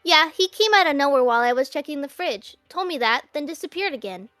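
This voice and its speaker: A woman's voice: high voice, lively intonation, fast talker, bright, forceful tone, no echo, soft background music. A woman in an amateur anime fan dub playing an earnest, chatty teenage girl. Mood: earnest, puzzled.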